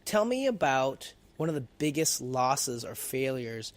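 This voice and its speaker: A man of middle pitch hosts a podcast interview, upbeat and encouraging. He asks open questions and answers the guest with brief affirmations. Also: very animated, measured pace. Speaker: podcast host.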